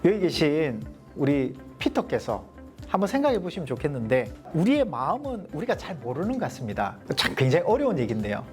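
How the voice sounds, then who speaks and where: A man with a softened Gyeongsang accent, giving a leadership coaching lecture to a small group and posing rhetorical questions. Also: a little high, huge pitch swings, brisk, soft background music. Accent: Gyeongsang Korean, mostly softened. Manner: poses rhetorical questions, explanatory.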